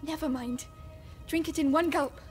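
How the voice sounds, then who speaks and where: Brief: actress as a caring teenage sister, high, unhurried, musical score. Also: female voice, fairly even intonation, faint music behind, lightly acted. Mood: gentle. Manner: gentle, reassuring. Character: protective teenage girl, older sister.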